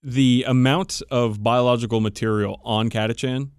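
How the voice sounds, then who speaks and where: A man's voice: mid-range voice, steady tone, moderate pace, a little mellow, clean tone, no room sound, studio quality. A man hosting a podcast, chatting casually and enthusiastically about game lore with emphatic swearing. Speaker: podcast host. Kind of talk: casual, profane hobby chat explaining game lore.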